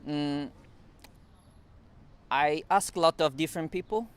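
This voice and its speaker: Man with a Chinese accent, halting English. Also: on the high side, clear, resonant tone. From China. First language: Mandarin. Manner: halting non-native English with frequent fillers and restarts; chuckles now and then. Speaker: interview guest. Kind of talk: casual interview.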